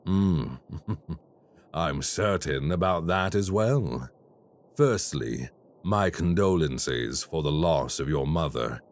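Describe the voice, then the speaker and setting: An actor playing a polite, quietly menacing male crime boss in an audio roleplay. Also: rumbling low, expressive, full character voice. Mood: smooth menace. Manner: slow, courteous and quietly menacing.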